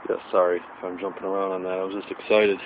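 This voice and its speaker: A man chatting excitedly while digging for old bottles in the woods, recorded on a handheld camera. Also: sits low, quick pace. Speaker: metal detecting vlogger. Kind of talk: casual outdoor vlog narration. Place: wooded area outdoors. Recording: tinny lo-fi camera audio with noise.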